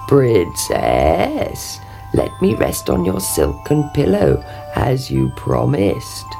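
A woman voicing a demanding male frog in a storybook, with a croaky voice and slow, insistent delivery. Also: very deep voice, wildly swooping pitch, music in the background, voice pushed down, heavily acted.

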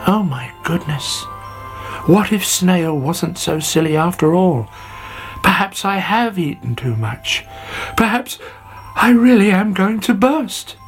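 A greedy young storybook caterpillar, acted out by a man, pitched high and swooping widely. He is cheeky and whiny, given to dramatic exclamations and self-pity. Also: expressive, slow, faint music behind, full character voice. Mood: anxious.

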